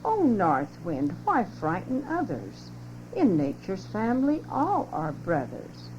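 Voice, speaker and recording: A woman voicing a brave, cheerful little male duck who chants slow singsong verses of defiance in a storybook reading. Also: medium pitch, huge pitch swings. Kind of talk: storybook read-aloud. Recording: lo-fi muffled home cassette, loud hum.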